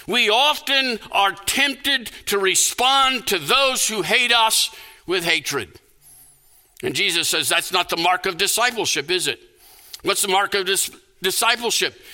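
High, forceful male preacher, slow and deliberate. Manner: emphatic, deliberate delivery with repeated phrases. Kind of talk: sermon with scripture quotations and rhetorical questions.

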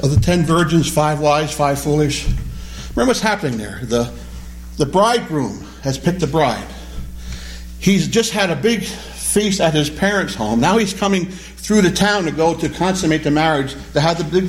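A man teaching a Bible study class, animated and questioning, repeating key words as he explains them. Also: on the high side. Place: classroom or church hall with a small group.

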